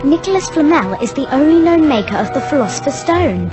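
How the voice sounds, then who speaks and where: A woman's voice: high voice, big pitch swings, fairly quick, music in the background. A woman playing a bookish, bossy young witch in a video game, with an English accent and a prim, emphatic manner. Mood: excited, impatient. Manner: prim, emphatic, know-it-all. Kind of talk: video game cutscene acting.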